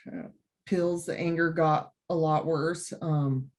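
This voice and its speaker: A young woman from Utah, a podcast guest, telling her personal story earnestly and emotionally, with many pauses. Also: deep voice, steady tone, a little mellow.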